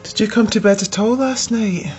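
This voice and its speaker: A woman's voice: medium pitch, normal speed, natural voice. An actress playing a caring, attentive woman in a naturalistic radio drama. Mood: concerned. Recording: muffled, music behind.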